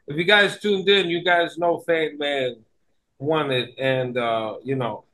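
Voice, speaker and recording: A man chatting casually as a podcast guest, excitable and playful, with slangy talk. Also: on the high side, a little slow, a little hiss or hum. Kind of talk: casual chat.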